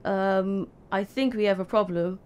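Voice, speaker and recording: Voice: mid-range voice, fairly even intonation, a little slow, a little mellow, clear, resonant tone, lightly acted. A performer playing a cheeky, defiant youngest brother, a small schoolboy, in an audio drama. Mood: defensive.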